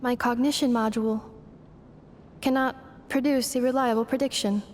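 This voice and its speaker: A woman voicing an earnest, analytical female robot in a video game, speaking in formal, measured phrases. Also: fairly high pitch, fairly even intonation, a little hiss or hum, natural voice. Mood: troubled.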